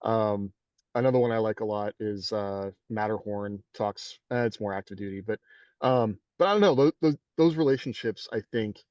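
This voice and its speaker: A man's voice: on the low side, normal speed, a little mellow, no echo. A man co-hosting a podcast, talking in a rambling way with many fillers and restarts. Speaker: podcast co-host. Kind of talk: casual panel discussion. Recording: dull distant dry mic.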